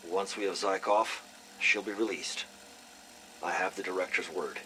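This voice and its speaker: A man's voice: low voice, fairly even intonation, normal speed, pushed and bright, a little hiss or hum, poor audio. A man playing a stern, no-nonsense intelligence agent in a video game, terse and businesslike. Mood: firm, impatient. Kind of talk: cinematic video game voice acting.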